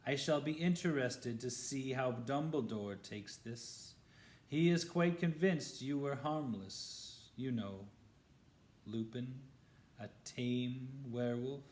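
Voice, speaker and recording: A man's voice: medium pitch, slow, a little mellow, close to an everyday voice. A man voicing a cold, spiteful male wizard professor who talks in a silky, sneering way. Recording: roomy echo.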